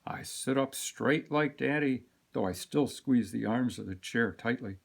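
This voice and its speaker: A man reading a children's picture book aloud in an expressive, deliberate storytelling manner. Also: medium pitch, gravelly, breathy edge. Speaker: storybook reader.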